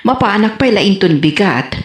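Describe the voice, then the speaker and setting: A woman playing a fluttery village auntie in an Ilocano comedy drama, with an Ilocano accent and theatrical, drawn-out speech. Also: medium pitch, very animated, lightly acted. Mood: homely, busy. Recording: roomy, reflective sound.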